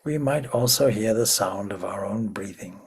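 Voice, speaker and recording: A man leading a guided meditation as a spiritual teacher, in a hushed, measured manner with long pauses and a breathy, husky voice. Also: lowish voice.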